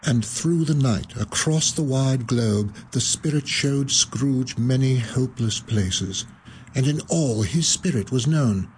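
A man narrating a radio play in a measured, solemn way. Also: mid-range voice, darkish tone, slight background noise, close to an everyday voice, rough recording. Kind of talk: scripted narration.

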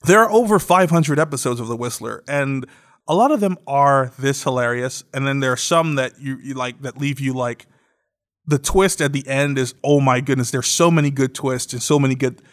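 A man's voice: mid-range voice, moderate pace. A man hosting a podcast in casual chat, enthusiastic and often repeating himself. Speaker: podcast host.

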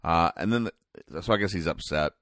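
Very low-voiced deadpan man, dry sound. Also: dry recording. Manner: halting, deadpan mock explanation. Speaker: podcast host. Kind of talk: comic commentary describing newspaper comic strips.

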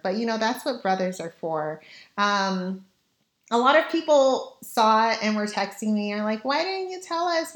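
A young woman hosting a casual solo podcast, chatty and candid, her voice raspy and tired from a late night. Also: medium pitch, reverberant. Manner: chatty, candid, laughs at herself. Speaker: podcast host.

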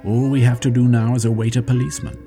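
A man voicing a bold, determined young hero who talks briskly. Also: medium pitch, steady tone, music in the background, pitched above the performer's own voice, close to an everyday voice.

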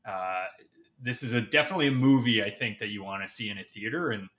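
A man's voice: on the low side, quick pace, fairly bright tone. A man speaking as a podcast guest, giving a casual film review and searching for his words.

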